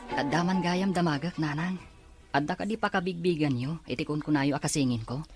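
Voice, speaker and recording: A woman's voice: low-pitched, fairly quick, loud music behind the voice, natural voice. A woman voicing a modest, hopeful teenage boy student in an audio drama, with an Ilocano Filipino accent. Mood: curious. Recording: crowd noise behind voice. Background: crowd chatter.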